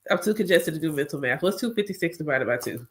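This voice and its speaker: A woman's voice: deep voice, animated, fast talker. A woman and spiritual coach, congested, chatting casually and directly to her viewers about her own experience. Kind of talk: casual chat.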